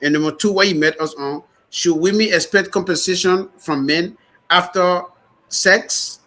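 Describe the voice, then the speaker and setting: Host: man, loud and rambling, high-pitched. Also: a little slow. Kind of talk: radio show hosting.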